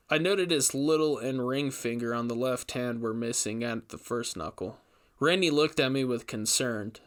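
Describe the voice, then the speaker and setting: A male investigator, voiced by a man reading aloud recounts a grim ordeal in a horror audio story. His mid-pitched delivery is measured and dramatic, with emphatic first-person storytelling. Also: fairly bright tone, lightly acted. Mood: tense, recounting.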